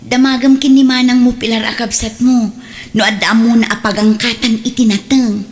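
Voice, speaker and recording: Woman acting a high-pitched, hopeful working wife. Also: steady tone, normal speed, natural voice. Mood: persevering. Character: hopeful, persevering younger sister and market-selling wife. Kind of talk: radio drama acting.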